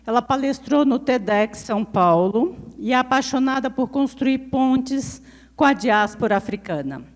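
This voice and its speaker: A woman with a Brazilian Portuguese accent, her voice set fairly high, reads out a prepared introduction for a speaker. Her words carry a long reverb from the lecture hall. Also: animated, moderate pace. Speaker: event presenter.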